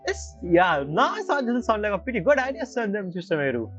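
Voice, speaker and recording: A woman's voice: medium pitch, big pitch swings, a little fast. A woman streamer giving casual, off-the-cuff reaction commentary over a video game. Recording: slightly muffled, game music behind.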